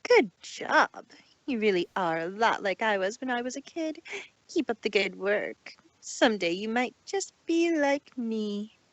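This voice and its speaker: Woman acting a haughty, lively cartoon pony. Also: on the high side, no room sound. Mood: smug approving. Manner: theatrical, taunting, drawn-out delivery. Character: haughty, sneering young filly bully and boastful showmare. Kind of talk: amateur cartoon fan fiction voice acting. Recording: dull, lo-fi home recording.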